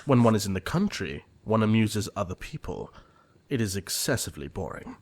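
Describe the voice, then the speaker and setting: An actor playing a self-assured, playfully evasive upper-class English gentleman in an audio play, with genteel, declamatory phrasing. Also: lowish voice, sing-song, fairly quick. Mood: airy. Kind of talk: audio play acting of Victorian comedy of manners.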